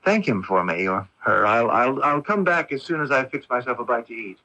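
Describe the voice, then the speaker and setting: Radio play polite young man, the odd son: placid, hesitant, mid-pitched, crisp-toned, dull lo-fi recording. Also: lively intonation, fairly quick, bright, forceful tone. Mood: placid, matter-of-fact. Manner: polite, hesitant, matter-of-fact. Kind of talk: radio drama acting.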